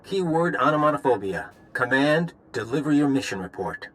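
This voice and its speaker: A man's voice: medium pitch, sing-song, normal speed, a bit bright. An actor playing a smug, coldly controlling male spy handler who taunts, in a video game. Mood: smug, menacing.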